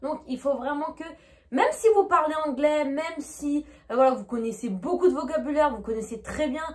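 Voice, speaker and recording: High-voiced French woman teaching. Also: moderate pace. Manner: chatty, keeps asking "d'accord?". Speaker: English teacher. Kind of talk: online lesson to camera.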